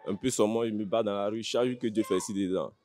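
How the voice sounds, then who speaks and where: A man's voice: fairly low pitch, steady tone, very fast, darkish tone. A man with an Ivorian accent, a fighter answering interview questions in a blunt, plain-spoken way with short, repeated phrases. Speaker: MMA fighter being interviewed.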